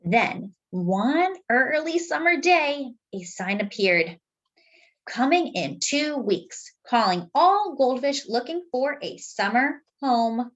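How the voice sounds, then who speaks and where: Slow, high-pitched, lively storytime woman. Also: lo-fi sound. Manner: expressive, addresses young listeners directly, dramatic pauses. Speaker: children's storytime reader and host. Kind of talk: picture-book read-aloud for young children.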